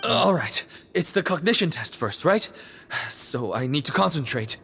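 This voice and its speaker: A woman playing a nervous, uncertain prison inmate in a video game, speaking hesitantly and haltingly. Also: deep voice, sing-song, creaky, a bit bright, exaggerated voice. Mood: anxious, confused. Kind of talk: video game character acting. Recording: music behind the voice.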